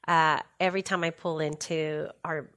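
A woman's voice: sits low, fairly even intonation, moderate pace. A woman whose first language is Spanish, a guest on a podcast interview, talking enthusiastically about her work. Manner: enthusiastic, warm-hearted, laughs often. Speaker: interview guest, safety leader. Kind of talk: casual interview chat.

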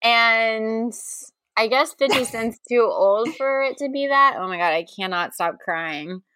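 A woman's voice: on the high side, unhurried, pushed and bright, smooth, dry recording. A woman co-hosting a comedy podcast in casual banter, exclamatory and incredulous, often repeating her words. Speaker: podcast co-host guessing song titles.

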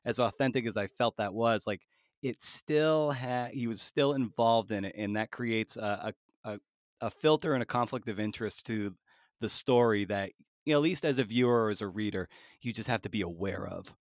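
A man's voice: on the low side, moderate pace, dry recording, clean studio sound. A man hosting a podcast interview in a casual, conversational style.

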